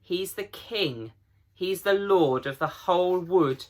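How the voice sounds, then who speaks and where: A man voicing a gruff, proud old talking beaver in a children's storybook, folksy and emphatic. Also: high-pitched, takes it slowly, pitched above the performer's own voice.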